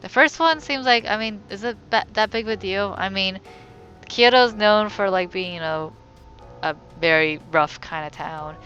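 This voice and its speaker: A woman's voice: medium pitch, moderate pace, a bit bright, dull audio, soft background music. A woman giving casual let's play commentary, rambling and thinking aloud in a wry way. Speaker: gamer, let's play host.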